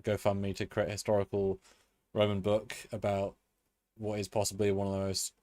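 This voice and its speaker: A man with a fairly low voice who rambles in a chatty way, restarting his sentences as he goes. He co-hosts a casual live stream, and the sound is dry and close with no echo. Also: completely flat delivery, moderate pace, darkish tone. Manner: rambling, many fillers and restarts. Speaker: co-host. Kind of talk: live-stream review chat.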